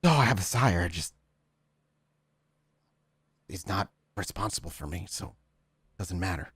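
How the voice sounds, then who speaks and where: A man playing a wounded young male vampire, bitter but submissive, speaking in a halting, sullen way. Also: low voice, lively intonation, a bit bright, breathy edge, dry recording, clean studio sound, exaggerated voice. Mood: subdued, sullen.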